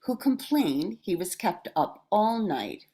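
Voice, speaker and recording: A woman's voice: mid-range voice, big pitch swings, slow, mellow, slightly rough. An older woman reading a scripted personal reminiscence as a member of a radio show cast.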